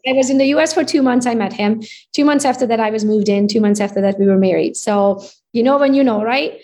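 A woman with a Hungarian accent talks through her story as an interview guest. Her voice sits fairly high, and she sounds upbeat and animated, often tagging her sentences with "right?". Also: fast. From Romania. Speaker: real estate agent guest. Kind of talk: casual interview chat.

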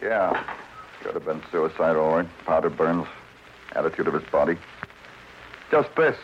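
An actor playing a world-weary, tough police detective in a terse, hard-boiled radio crime drama. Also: male voice, low-pitched, normal speed. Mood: stern, probing. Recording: muffled, noisy old radio broadcast recording.

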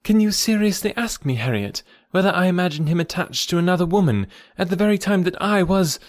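A poised young gentlewoman, played by a male narrator from a classic novel. The voice is lifted in pitch and expressive, formal and guarded, with careful emphasis. It sounds dry and close. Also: a little fast, no room sound. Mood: firm, incredulous. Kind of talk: classic novel audiobook character dialogue.

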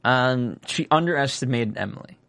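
A man with a raspy voice co-hosting a casual TV recap chat, rambling through his points. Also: mid-range voice, expressive, normal speed, a bit bright, no echo. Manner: rambling, hesitant, many restarts. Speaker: podcast co-host.

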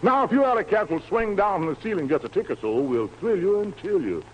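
A man's voice: sits high, lively intonation, fast talker, highs cut off, a little hiss or hum. A man emceeing a 1940s radio music show in slangy, theatrical hepcat jive talk, on a vintage broadcast recording. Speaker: radio show host, master of ceremonies.

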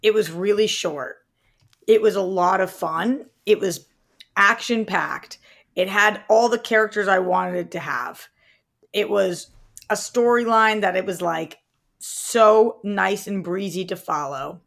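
A woman's voice: medium pitch, measured pace, vocal fry. A woman co-hosting a podcast in casual film discussion, speaking in an informal, direct and opinionated way. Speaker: podcast co-host.

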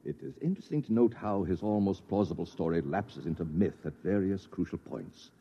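An actor in an old radio drama playing a pompous, condescending professor who lectures pedantically, on an old recording. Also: male voice, lowish voice, mellow, husky, dull audio, heavily acted.

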